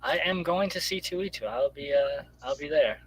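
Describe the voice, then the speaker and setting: A man talking casually and haltingly as a guest creator plugging his project on a live stream. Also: a little high, lively intonation, a little hiss or hum, poor audio. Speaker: guest comic creator promoting crowdfunded book and radio show.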